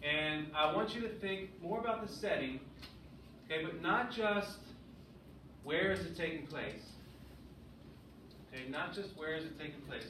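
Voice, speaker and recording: A man's voice: fairly high pitch, expressive, measured pace, pauses often, bright, forceful tone. A man teaching a grade school English class, often checking understanding with short questions. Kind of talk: classroom lesson with read-aloud. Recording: echoey, noisy room, distant mic.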